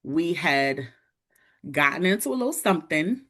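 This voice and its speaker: A woman in her forties shares a personal story on a podcast in a relaxed, chatty way. Her voice sits a little low, yet her pitch swoops widely as she gets animated and slips into the voices of the people she quotes. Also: normal speed. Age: 40s. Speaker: podcast guest. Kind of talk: casual storytelling chat.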